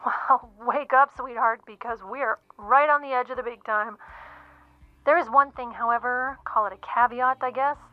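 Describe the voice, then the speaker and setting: An actress playing a brisk, eager literary agent, chatty and pushy over a telephone in an audio drama. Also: female voice, a little high, steady tone, dry recording. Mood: upbeat, selling. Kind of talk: audio drama phone conversation. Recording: dull, band-limited phone call sound.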